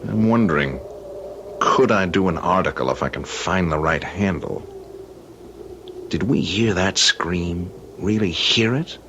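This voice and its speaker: An actor playing an uneasy, skeptical married man in an old-time radio drama, halting and questioning as he speaks. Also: low-pitched. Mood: puzzled, brooding. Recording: dull, noisy old radio recording.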